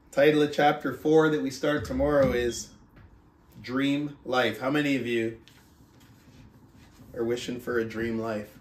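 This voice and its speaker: A man who speaks as a motivational book club host, reading aloud and coaching in an emphatic, deliberate, rhetorical manner. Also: mid-range voice, fairly even intonation, a little hiss or hum. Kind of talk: audiobook-style reading aloud and motivational talk. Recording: roomy, echoing sound.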